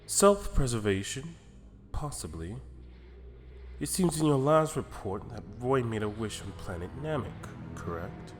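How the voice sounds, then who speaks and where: A man playing a calm, calculating celestial attendant who speaks in a measured, formal, deliberate way in an anime fan drama. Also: medium pitch, in a large hall, faint music behind. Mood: analytical.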